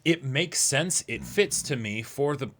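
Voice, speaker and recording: Male co-host, thirties. Crisp bright tone at middle pitch, casual, agreeable explainer. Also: animated, measured pace. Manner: agreeable, explains through analogies. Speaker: reaction show co-host. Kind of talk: casual discussion of an anime episode. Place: small indoor room with backdrop, seated.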